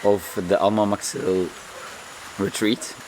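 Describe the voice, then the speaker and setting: Man, deep voice, slightly hoarse, calm earnest vlog talk, rain and stream noise clearly audible behind. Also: slow. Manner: calm, earnest, repeats words while searching for them. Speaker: vlogger. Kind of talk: reflective vlog monologue.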